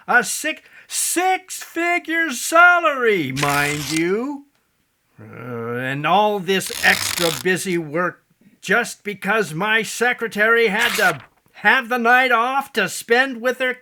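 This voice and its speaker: An actor playing a grouchy, pompous middle-aged business executive in a stage play, grumbling, weary and sarcastic. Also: male voice, high voice, noisy background, full character voice. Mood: indignant, weary. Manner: grumbling, indignant, muttering, sarcastic. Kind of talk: theatrical stage-play monologue and dialogue.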